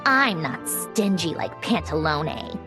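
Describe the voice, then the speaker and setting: An aloof young female researcher in a video game. Her delivery is dry, haughty and deadpan, with a sardonic edge. Music plays behind her. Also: low-pitched, slow, full character voice. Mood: grudging, sardonic. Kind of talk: video game character acting.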